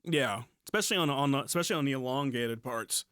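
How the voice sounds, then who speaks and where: A man's voice: mid-range voice, quick pace, a bit bright, smooth, no room sound, clean studio sound. An American man hosting a podcast, chatting casually in informal slang and often restarting his phrases. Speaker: podcast host. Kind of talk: casual music podcast chat.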